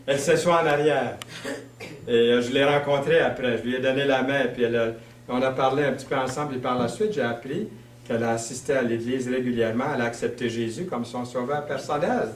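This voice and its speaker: A man's voice: mid-range voice, slight background noise. A man from Quebec with a Québécois French accent, preaching a sermon in a conversational, storytelling way. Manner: conversational, storytelling, with fillers and slow emphasis. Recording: roomy sound, mic at a distance.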